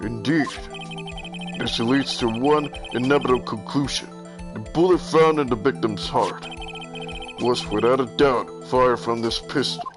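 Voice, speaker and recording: A man voicing an arrogant, commanding male prosecutor in a video game, with a measured, haughty, formal delivery. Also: medium pitch, music in the background, lightly acted. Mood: smug, superior. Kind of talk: video game dialogue voice-over.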